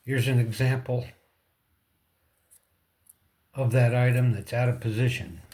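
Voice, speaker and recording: Guy doing a casual how-to fix, really slow, halting, kinda thinking out loud, mid pitch. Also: fairly even intonation, slight background noise. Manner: halting, short phrases, thinking aloud. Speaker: repair tutorial host. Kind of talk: casual hands-on how-to demonstration.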